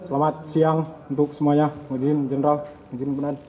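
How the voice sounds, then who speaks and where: A man's voice: on the high side, level tone, brisk. A male police officer from North Sumatra, stating his identity in a stiff, dutiful way. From North Sumatra, Indonesia. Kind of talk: formal self-introduction. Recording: distant, phone-like audio.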